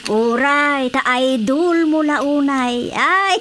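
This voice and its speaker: A woman's voice: high voice, slow, a bit bright, a little hiss or hum. A woman playing a skeptical gossiping woman in a radio drama, with a Filipino accent and exclamatory sighs. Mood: teasing. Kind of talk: Ilocano radio drama dialogue.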